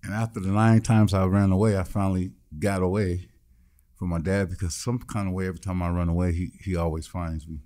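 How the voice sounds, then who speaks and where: A man guesting on a studio podcast, telling his life story in an animated, punchy, streetwise way. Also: sits low, fairly quick. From Midwestern United States. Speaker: guest musician and producer. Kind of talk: casual storytelling interview.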